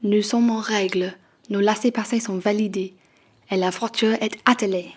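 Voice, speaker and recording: A woman's voice: medium pitch, steady tone, normal speed, husky, close to an everyday voice. A woman playing a genteel, gushing society lady in a French radio play. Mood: brisk.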